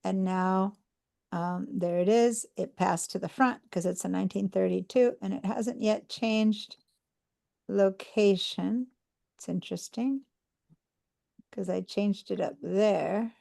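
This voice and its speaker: A woman's voice: medium pitch, takes it slowly, creaky, dark tone. A woman from Argentina teaching an online computer class, patiently talking students through each step and checking in with them. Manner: patient, step-by-step explaining with fillers. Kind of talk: live online class demonstration.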